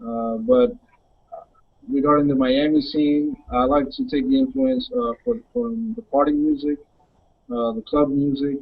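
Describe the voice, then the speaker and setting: A young adult man from the Dominican Republic, a music producer answering interview questions in a halting way with many fillers. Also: on the high side, breathy edge, phone-quality sound.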